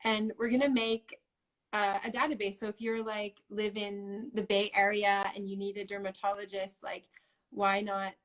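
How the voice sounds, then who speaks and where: A woman's voice: medium pitch, little pitch movement, normal speed. A woman guest in a casual interview, talking in a rambling way with frequent laughs. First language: English. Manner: rambling, many fillers and restarts, laughs often.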